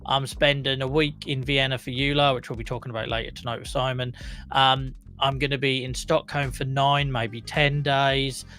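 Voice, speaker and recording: A young man with a croaky voice hosting a live chronic pain talk show, chatting casually to his viewers with many fillers and laughs. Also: medium pitch, flat delivery, normal speed, pushed and bright.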